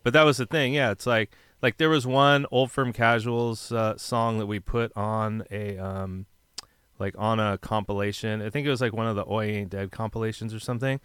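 Smooth low-voiced laid-back man. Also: steady tone, moderate pace, fairly bright tone, no room sound, studio quality. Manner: laid-back, lots of fillers, frequent yeahs. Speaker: interviewer, punk musician. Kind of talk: casual podcast chat.

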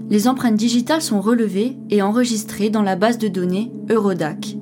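A woman giving clear, scripted documentary narration that explains a procedure. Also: medium pitch. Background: music.

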